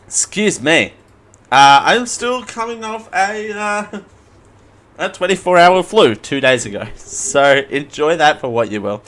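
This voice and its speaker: A hoarse man hosting a casual live show, rambling, joking at himself and stumbling while he reads out news stories. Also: fairly high pitch, animated, slow, a bit bright. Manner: rambling, self-mocking, stumbles over names. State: hoarse after flu. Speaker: host. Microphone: headphones at a desk mic.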